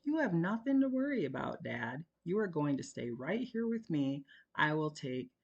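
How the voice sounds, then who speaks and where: A cheeky oldest son, teasing and quick to bolt, as a reader puts him on. The voice climbs well above the reader's own, bright and mischievous. Read as a storybook character. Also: medium pitch, sing-song, dull audio, voice pushed up. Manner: teasing, playful.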